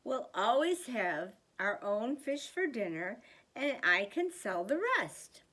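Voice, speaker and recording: A woman's voice: mid-range voice, big pitch swings, takes it slowly, fairly bright tone, full character voice. An older woman voicing a cheerful, enterprising wife in a children's storybook, speaking with persuasive reassurance.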